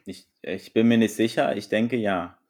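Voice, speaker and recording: A man co-hosting a podcast, playing a casual guessing game, unhurried and playful and laughing easily. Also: on the low side, a little mellow. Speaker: podcast co-host.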